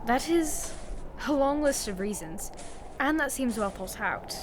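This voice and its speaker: A woman's voice: high-pitched, lively intonation, measured pace, background noise. A woman playing a loyal, teasing young adventurer in an audio drama, with a wry manner. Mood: reassuring, surprised.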